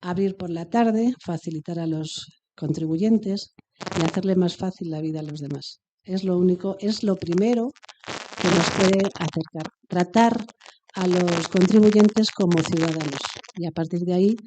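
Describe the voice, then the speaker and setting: A woman's voice: on the low side, normal speed, background noise. A woman from Spain, a municipal treasurer, giving off-the-cuff panel remarks, hesitant at first and then earnest and emphatic. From Aranda de Duero, Spain.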